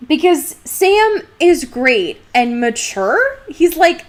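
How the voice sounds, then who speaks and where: A woman's voice: sits high, sing-song, takes it slowly. A young woman co-hosting a podcast, recapping a book casually in a slangy, irreverent, sarcastic way. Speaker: podcast co-host.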